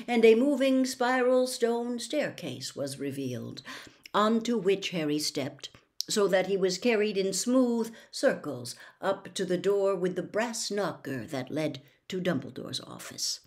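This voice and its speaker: A woman's voice: mid-range voice, expressive, unhurried, darkish tone. A woman reading a novel aloud as a storybook narrator. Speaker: audiobook reader.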